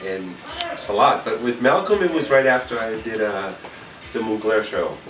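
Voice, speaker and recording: Deep-voiced middle-aged man in echoey room. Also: lively intonation, normal speed, distant mic, background noise. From Puerto Rico, raised in New York City. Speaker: fashion designer being interviewed. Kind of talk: casual interview talk. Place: designer's indoor studio with sewing machines. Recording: echoey room, off-mic, music behind.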